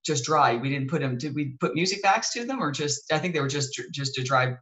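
Bright-toned woman with a deep voice. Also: expressive, brisk. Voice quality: deep, dark voice. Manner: chatty, many restarts and self-corrections. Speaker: show host. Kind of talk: casual interview talk. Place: living room, indoors.

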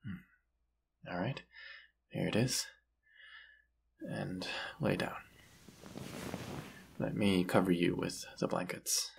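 A man playing a gentle, forgiving vampire hunter and lover in an intimate audio roleplay, speaking tenderly with hesitant pauses. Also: low-pitched, gravelly, slight background noise, full character voice. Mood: tender, soothing.

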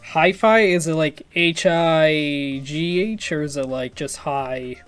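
A man's voice: fairly high pitch, slow pace, a bit bright, clear, resonant tone, faint music behind. A man in his twenties making a casual prank phone call, hesitant and rambling as if confused. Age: 20s. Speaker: prank caller, YouTube video host. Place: small room at home, indoors.

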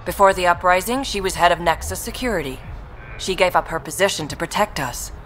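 An actress playing a firm, matter-of-fact, guarded recruiter for an outlaw gang in a video game. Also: female voice, on the low side, a little fast, fairly bright tone, close to an everyday voice. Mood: defiant, loyal. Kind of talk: scripted game dialogue. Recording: noisy industrial ambience behind.

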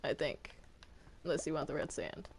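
A hesitant gamer chats casually with friends in a voice full of creak. The pitch swings about freely, and there is faint noise with clicks in the recording. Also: big pitch swings, moderate pace. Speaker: video game player in a group roleplay. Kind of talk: casual in-game chat.

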